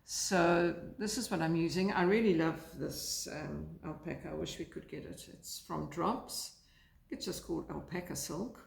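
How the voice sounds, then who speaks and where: A woman from South Africa chatting casually about her knitting by a fire, rambling and hesitant with many fillers, her voice croaky today. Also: low voice, normal speed. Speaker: knitting vlogger. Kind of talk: casual chat to camera. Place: lounge by a fireplace, indoors. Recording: echoey room.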